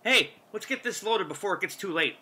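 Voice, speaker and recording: An actor playing an excitable, easygoing working man in a radio mystery play. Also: high voice, a little fast, slightly rough, exaggerated voice. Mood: surprised, eager. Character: excitable, easygoing male workman with gold dreams.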